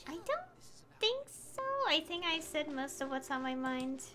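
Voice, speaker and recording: A woman's voice: high-pitched, sing-song, unhurried. A woman streaming a game, giving casual commentary in a hesitant, rambling way that often trails off. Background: game music.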